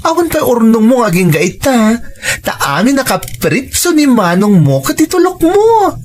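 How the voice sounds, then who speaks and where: A woman's voice: fairly high pitch, very animated, normal speed, a little mellow. An actress playing a frank, caring female friend who gives firm advice, with an Ilocano accent, in an audio drama. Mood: questioning, reproving. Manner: admonishing, questioning, pleading. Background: faint music.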